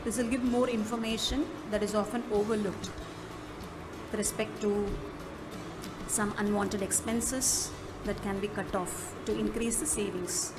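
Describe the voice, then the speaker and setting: A woman presents a software walkthrough in an instructional manner, with halting phrasing. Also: mid-range voice, fairly even intonation. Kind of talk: step-by-step app walkthrough narration. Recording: music behind the voice. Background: clearly audible music.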